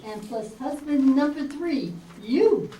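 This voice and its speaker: A woman's voice: sits high, lively intonation, takes it slowly, mellow. A woman playing a scheming, gold-digging wife in an amateur radio play, coaxing with false sweetness. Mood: scheming, coy. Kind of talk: amateur old-time radio play acting. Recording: echoey, distant, noisy room recording.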